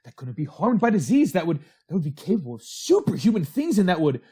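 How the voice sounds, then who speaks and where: An actor playing a dreamy, excitable workshop hand who talks eagerly and repeats himself, in a retro radio drama. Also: male voice, high-pitched, quick pace, husky, heavily acted. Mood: excited wonder. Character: dreamy, excitable male workshop hand fascinated by mechanical men.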